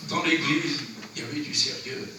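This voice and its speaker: A man's voice: a little high, steady tone, normal speed. A man preaching a sermon in church, who has a cold and a runny nose, exhorting earnestly in short phrases. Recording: very echoey church, from far off, noisy.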